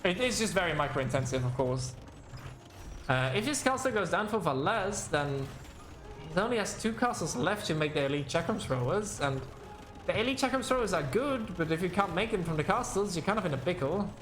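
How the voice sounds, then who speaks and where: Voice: medium pitch, expressive, a little fast, fairly bright tone, echoey room, a little hiss or hum. A game caster giving casual live commentary and chatting with viewers, rambling, thinking aloud and often self-correcting.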